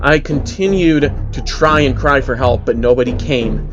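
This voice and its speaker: A man's voice: mid-range voice, normal speed, dull audio, music in the background. A man narrating as host of a dramatic creepypasta reading, telling a first-person story to listeners. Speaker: narrator, channel host reading fan stories.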